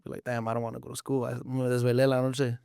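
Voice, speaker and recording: A man's voice: medium pitch, flat delivery, quick pace, creaky, warm tone, clear, resonant tone, dry recording, studio quality. A man of about twenty, chatting casually as an interview guest in slangy English mixed with Spanish. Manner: slangy, informal, frequent swearing.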